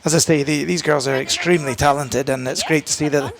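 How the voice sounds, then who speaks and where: A man giving live commentary on a curling match, talking in halting bursts full of fillers and restarts. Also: on the high side, steady tone, vocal fry. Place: curling arena. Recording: arena crowd noise behind.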